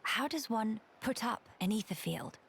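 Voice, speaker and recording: Young noble leader, formal, dignified, commanding, fairly high voice, game acting. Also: female voice, lively intonation, normal speed, gravelly, fairly bright tone, a little hiss or hum. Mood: encouraging, composed.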